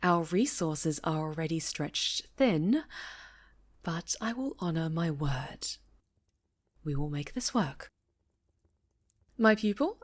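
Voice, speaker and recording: A woman voicing a stern, cautious high enchantress and mentor, speaking gravely and formally in a fantasy roleplay. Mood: stern, resigned. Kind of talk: fantasy ASMR roleplay acting.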